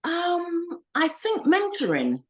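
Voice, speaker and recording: A woman from Ghana, a makeup designer guesting on a podcast, talking in a relaxed, friendly way and growing animated when she tells stories. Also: very high voice, slow pace. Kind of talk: casual interview chat.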